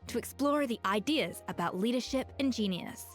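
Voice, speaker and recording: An actress playing a proud, exacting master chef with high standards in a video game. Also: female voice, lively intonation, moderate pace, lightly acted. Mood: earnest, reflective. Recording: background music behind the voice.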